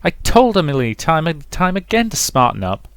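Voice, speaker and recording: A man's voice: fairly high pitch, very animated, moderate pace, dry recording, studio quality, pitched above the performer's own voice. A man voicing a brash, sweary, dramatic sixteen-year-old girl in a visual novel, complaining emphatically. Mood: indignant, blunt.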